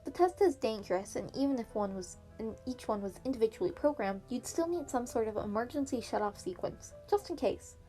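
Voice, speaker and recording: A woman's voice: a little high, lively intonation, brisk, hardly any pauses, dark tone, lightly acted. A woman voicing an earnest, clever teenage boy student in a fan story reading, polite and keen to explain himself. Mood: confident, keen. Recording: piano music behind the voice.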